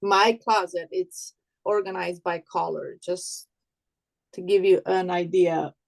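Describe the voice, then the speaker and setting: A woman from Romania, raised in Italy and by birth, speaks slowly and unhurried on a video call. She is earnest and hesitant as a workshop participant, pausing often and starting her sentences again. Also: fairly low pitch, steady tone, creaky. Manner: earnest, hesitant, many fillers and restarts. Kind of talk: casual chat.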